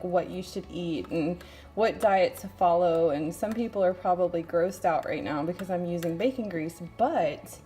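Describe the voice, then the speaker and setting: A woman's voice: mid-range voice, warm tone. A woman from Texas with a light Texan accent, chatting casually through a home cooking demo in her kitchen, rambling and laughing often.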